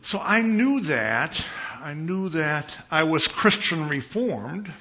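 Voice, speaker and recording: A man from Chicago preaching as a visiting pastor in a church, with a big voice and an unhurried, conversational manner, pausing and repeating phrases for emphasis. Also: on the high side, sing-song, bright, forceful tone, highs cut off. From Chicago, United States. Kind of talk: conversational sermon. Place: church sanctuary.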